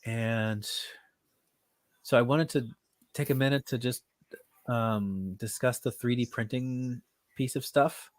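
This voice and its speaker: A man's voice: medium pitch, no echo, studio quality. Stuffed-up man teaching on a call. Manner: relaxed, loose and halting with fillers. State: stuffed up with a cold. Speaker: class teacher. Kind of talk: casual online group class.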